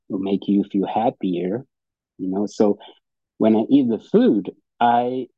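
A man's voice: on the low side, slightly rough, no room sound. A man from Brazil with a Brazilian Portuguese accent, speaking at a recovery meeting. He gives a personal testimony and reads aloud, stumbling, repeating words and often restarting. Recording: dull lo-fi dry audio.

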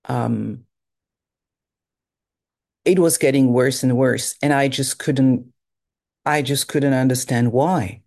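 Slow candid testimony from a french native in their fifties, soft and reflective. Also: mid-range voice, vocal fry. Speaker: recovery group member. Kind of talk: personal testimony.

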